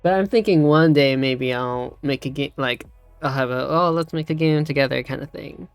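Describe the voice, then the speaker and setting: Streamer, mid-pitched voice, casual livestream chat, hesitant, trailing off, dry close sound, faint music behind. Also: moderate pace, clean tone, dry recording. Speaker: streamer co-hosting a game tutorial.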